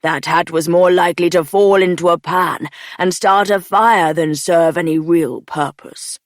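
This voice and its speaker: A woman voicing a towering, short-tempered bear-like cook who snaps and grumbles in a deadpan way. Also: on the low side, measured pace, no room sound, studio quality, natural voice. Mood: irritated.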